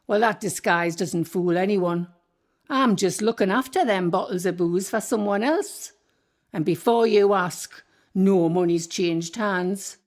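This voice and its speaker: A woman's voice: medium pitch, darkish tone, lightly acted. A woman playing a bossy Northumbrian ghost landlady. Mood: suspicious. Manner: scolding, bossy, quick to defend herself. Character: sharp-tongued ghost of an old landlady. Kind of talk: community radio play acting.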